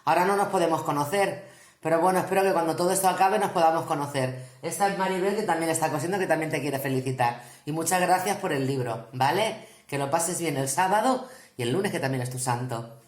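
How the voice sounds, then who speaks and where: A woman's voice: deep voice, brisk. A woman with an accent from Spain giving a casual greeting of thanks in an echoey room.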